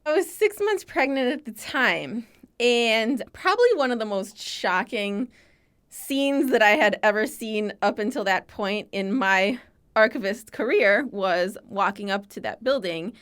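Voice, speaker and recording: A woman's voice: on the high side, animated, measured pace, fairly bright tone, smooth. A woman in her forties from Chicago, telling her story as an interview guest and voicing the people she quotes. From Chicago, USA. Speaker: guest, archives founder.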